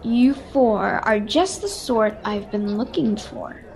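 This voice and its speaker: A mysterious adviser in a radio fantasy serial, acted by a woman, speaks in a high voice. She is courteous and measured, choosing formal words as a knowing patron would. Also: lively intonation, a little mellow. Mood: confident, inviting. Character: mysterious cloaked woman, well-spoken adviser and quest-giving patron. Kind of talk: radio-serial adventure acting. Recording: home video-call recording.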